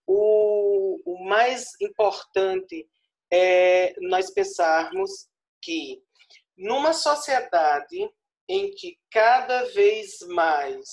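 A man in his forties with a northeastern Brazilian Paraíba accent, giving an online lecture over a video call headset, stretching out key words and checking in with "certo?". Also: high-pitched. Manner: expansive, tags points with "certo?", stretches key words slowly. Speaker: guest lecturer. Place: small room at home. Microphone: earphone headset on video call.

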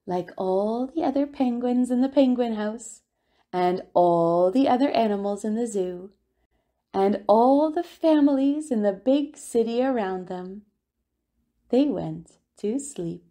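Slow, mellow woman reading a picture book. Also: a little high. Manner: expressive, deliberate storytelling with drawn-out words. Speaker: children's storyteller at a family centre.

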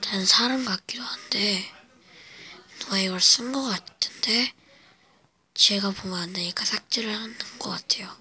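A woman vlogger whispering a spooky personal story at home in a slow, hesitant way, with many fillers. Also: medium pitch, pushed and bright. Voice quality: breathy whisper. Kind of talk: whispered casual storytelling to camera. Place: home, indoors.

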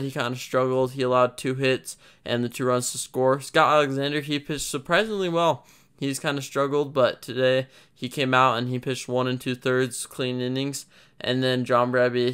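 A man's voice: medium pitch, fairly bright tone, clean tone. A young man hosting a fan recap, talking through the game in an earnest, frustrated way with restarts. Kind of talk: casual recap voice-over. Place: voice-over over game footage.

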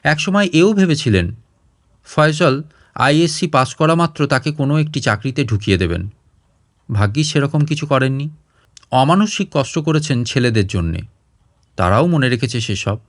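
A man's voice: mid-range voice, expressive, moderate pace, pauses often, no room sound, studio quality. A man reading a literary short story aloud as a storyteller, in audiobook style.

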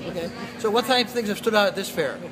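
A man interviewing fair visitors with brisk, brief prompting questions while holding the phone camera. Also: high voice, lively intonation. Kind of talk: quick vox-pop questions. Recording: noisy fairground.

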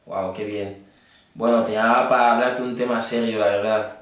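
A man's voice: on the low side, fairly even intonation, normal speed, fairly bright tone. A young adult man chatting casually on prank phone calls as a fitness trainer. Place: indoor green screen studio. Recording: very echoey room, distant mic.

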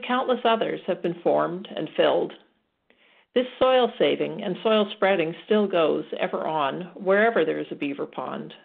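A woman's voice: lowish voice, vocal fry. A woman reading a nature book aloud. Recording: tinny phone-like sound.

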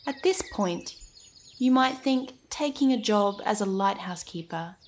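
A woman's voice: medium pitch, lively intonation, measured pace, slight background noise. A woman narrating a scripted history podcast, speaking directly to the listener in a dramatic storytelling manner.

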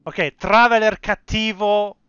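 A man's voice: high voice, unhurried, smooth. A young Italian man giving casual, exclamatory live commentary as a game streamer. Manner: animated, exclamatory asides. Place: indoor room, streaming. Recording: dull audio with game music behind.

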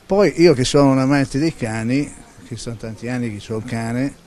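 A man's voice: medium pitch, moderate pace, darkish tone. A man, giving blunt opinions as a resident in a street interview. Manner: plain-spoken, opinionated. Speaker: local resident. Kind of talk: street vox pop answer. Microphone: handheld interview mic. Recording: faint background ambience.

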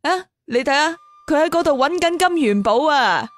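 A woman voicing a composed, teasing young martial arts master in an audio story, with a calm, dryly mocking manner. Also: high-pitched, normal speed, faint music behind. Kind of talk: acted dialogue in an audio storytelling.

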